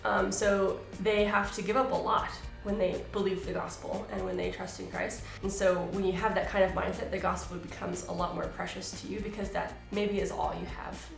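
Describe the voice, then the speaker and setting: A woman's voice: mid-range voice, little pitch movement, fairly quick, creaky, hardly any pauses, reverberant, soft background music. A woman missionary asking and answering questions in a casual, thoughtful way. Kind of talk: casual Q and A chat.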